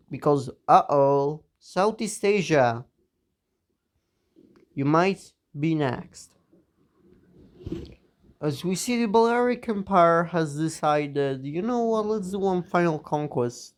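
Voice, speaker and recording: A young man with a smooth, clear voice talks through a map scenario, drawling slowly with offhand asides. He sounds a bit sick. The sound is dry and close, with no room around it. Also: fairly high pitch, no echo. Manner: rambling, offhand, teasing asides. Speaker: mapping video narrator. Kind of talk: casual narration over a map.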